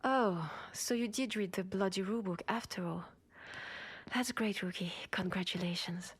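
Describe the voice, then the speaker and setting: A woman with a French accent playing an ambitious, protective cyberpunk cop in an intimate sci-fi audio roleplay, with soft, breathy delivery. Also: mid-range voice, moderate pace, natural voice. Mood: irritated, defensive. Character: ambitious, protective female cyberpunk cop, senior partner to a rookie. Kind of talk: intimate sci-fi roleplay acting, one side of a dialogue.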